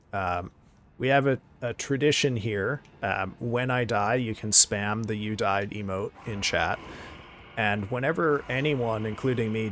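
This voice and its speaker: Excitable male streamer losing his voice. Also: lowish voice. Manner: excitable, thanking viewers, swearing in surprise. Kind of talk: casual live-stream chat.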